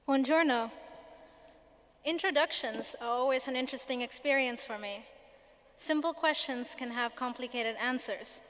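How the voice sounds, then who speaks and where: High-voiced young woman in a reverberant hall. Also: moderate pace, a bit bright. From Sri Lanka. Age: 20s. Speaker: student speaker. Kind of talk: prepared personal speech.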